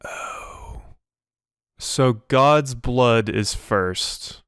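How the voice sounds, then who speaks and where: Clear-voiced man musing through a game. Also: mid-range voice, wildly swooping pitch, very slow, fairly bright tone, dry recording. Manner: musing, questioning himself. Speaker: let's play host. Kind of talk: casual gameplay commentary, thinking aloud.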